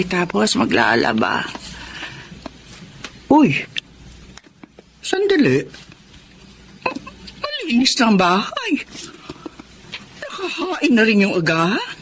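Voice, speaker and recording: A woman playing a stern, hot-tempered middle-aged mother-in-law in a Filipino radio drama, with a Filipino accent and an expressive delivery. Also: fairly high pitch, slight background noise, heavily acted.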